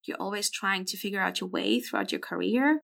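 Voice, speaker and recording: A woman's voice: mid-range voice, fairly quick, vocal fry, a bit bright. A woman in her twenties, a podcast guest talking frankly and reflectively in a casual interview. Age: 20s.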